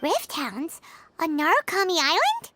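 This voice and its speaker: Tiny excitable game sidekick: very high, chirpy, swooping; loud music bed. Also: female voice, heavily acted. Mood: puzzled. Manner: chirpy, exclamatory, quick reactions. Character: tiny floating female companion, excitable and chatty. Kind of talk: video game dialogue.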